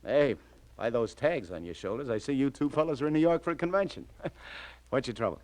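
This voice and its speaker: An actor playing a wisecracking, streetwise New York police lieutenant in breezy 1940s radio noir style. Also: male voice, mid-range voice, animated, fairly quick, darkish tone, no room sound, close to an everyday voice. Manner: wisecracking, breezy, chuckling asides.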